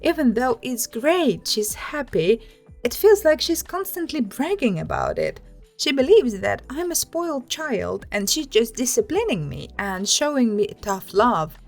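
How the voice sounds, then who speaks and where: A woman narrates internet stories in a fairly high voice with strongly varied, expressive intonation. She reads as a channel host and adds commentary, with faint music playing behind her.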